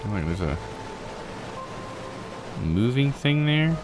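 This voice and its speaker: A man's voice: mid-range voice, big pitch swings, slow, background noise. A man doing casual let's play commentary as a game streamer, thinking aloud in folksy, slangy phrases. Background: game music.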